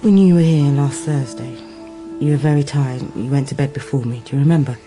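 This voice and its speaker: Soft woman confiding in her partner, dark mellow tone, quiet music under, radio play. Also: very deep voice, a little fast. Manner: intimate, confiding. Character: soft-spoken, candid woman, the detective's lover. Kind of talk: radio drama acting.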